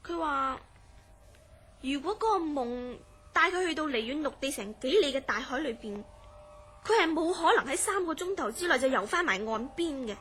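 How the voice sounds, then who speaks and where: An actress playing a curious, easily astonished woman in a horror radio drama, speaking in an expressive, questioning way. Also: high-pitched, moderate pace, slight background noise. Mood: earnest, mysterious. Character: curious, astonished woman recounting a friend's strange dreams. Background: background music.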